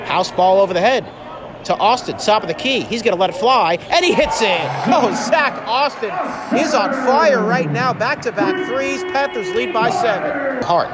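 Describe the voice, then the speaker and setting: A man doing live radio play-by-play of basketball, with excitable, drawn-out calls on big shots. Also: very high-pitched. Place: indoor college basketball arena. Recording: loud arena noise and crowd behind.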